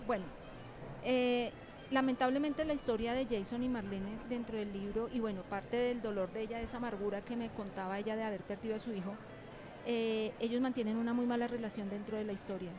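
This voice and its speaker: A woman's voice: on the high side, steady tone, a little fast, a crowd in the background. A woman teacher being interviewed, talking reflectively about her craft and repeating words and phrases for emphasis.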